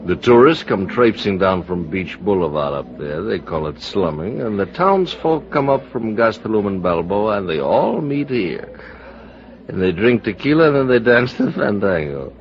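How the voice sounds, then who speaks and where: An actor playing a drunk, bitter middle-aged TV producer with a theatrical, rambling delivery in an old-time American radio drama. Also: male voice, lowish voice. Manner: rambling, mock-grand, chuckling. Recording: muffled old radio broadcast recording.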